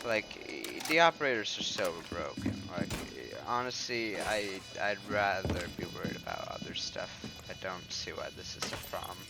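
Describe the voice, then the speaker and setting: A man's voice: deep voice, animated, normal speed, creaky, few pauses, over loud music. A young man giving casual, rambling commentary over gameplay, sounding a bit congested. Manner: rambling, filler-heavy, pointing at footage. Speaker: gaming commentator. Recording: game sounds and gunfire behind.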